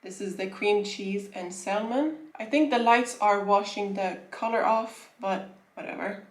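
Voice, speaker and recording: A woman's voice: mid-range voice, unhurried, reverberant. A young woman from Sweden chatting casually as she eats and answers questions in a home vlog, rambling and correcting herself. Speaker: vlogger. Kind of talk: casual mukbang Q&A chat. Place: room at home.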